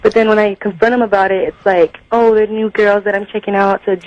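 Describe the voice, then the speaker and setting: A man's voice: high-pitched, little pitch movement, a little fast, lightly acted. A man putting on a young woman's voice as a prank caller to a radio show. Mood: complaining. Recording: muffled phone line.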